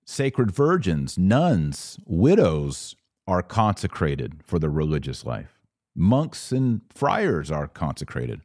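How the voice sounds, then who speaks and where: A man's voice: sits low, a little mellow, dry recording, studio quality. A man hosting an informal religious Q&A, explaining things in a didactic way and answering his own rhetorical questions. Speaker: podcast host answering viewer questions. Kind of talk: live Q&A, informal religious teaching.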